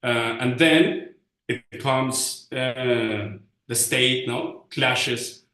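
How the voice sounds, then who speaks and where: Halting man in an echoey space. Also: medium pitch, sharp tone. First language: Spanish. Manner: halting, searching for English words. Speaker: panelist presenting community research. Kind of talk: informal spoken presentation. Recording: roomy, echoing sound.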